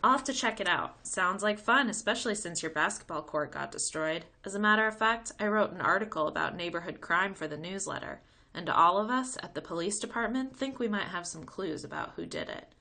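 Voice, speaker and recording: A woman's voice: medium pitch, animated, brisk, hardly any pauses, sharp tone. Woman reading as an easygoing policeman dad. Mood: friendly. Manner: casual, explanatory. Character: easygoing, matter-of-fact father, a policeman. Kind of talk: read-aloud children's storybook.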